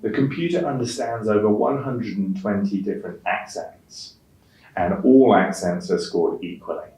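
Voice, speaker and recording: Low-pitched male teacher in a roomy space. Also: moderate pace, warm tone. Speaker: English test-prep teacher. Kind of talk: instructional lesson narration with read-aloud examples. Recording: roomy echo, distant microphone.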